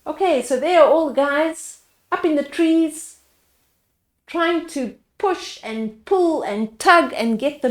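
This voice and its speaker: A woman's voice: fairly high pitch, darkish tone, echoey room. A woman reading a bedtime storybook aloud expressively, explaining it to young listeners as she goes. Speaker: children's story reader.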